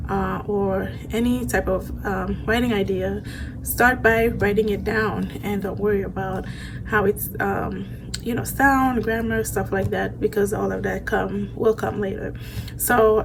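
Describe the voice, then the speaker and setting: A woman from Haiti, an author in her thirties, talking casually to a phone camera in a room with bookshelves, pausing often with ums and you-knows. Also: medium pitch, background noise. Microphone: phone camera at arm's length.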